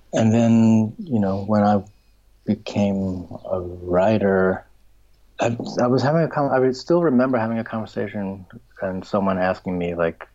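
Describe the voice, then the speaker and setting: A middle-aged man, a filmmaker and writer, answering interview questions in a halting, searching way, restarting often and trailing off into a soft whisper. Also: fairly low pitch. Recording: dull lo-fi recording.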